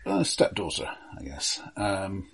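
A man co-hosting a podcast, discussing a film casually, halting and repeating his words. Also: low voice, creaky, husky. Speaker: podcast co-host.

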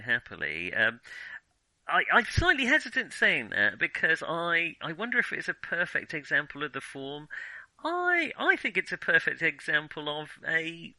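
A man with a very bright, sharp voice chats casually about film as a host. He feels his way through opinions, hesitating and qualifying them. The sound is close and dry. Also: mid-range voice, no room sound, rough recording.

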